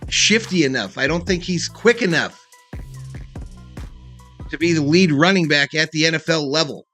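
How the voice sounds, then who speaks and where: A man's voice: fairly high pitch, animated, moderate pace, bright, forceful tone, faint music behind. A man hosting a sports livestream, giving casual play-by-play and chatting with fans in a folksy, informal way. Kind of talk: casual play-by-play commentary and fan chat.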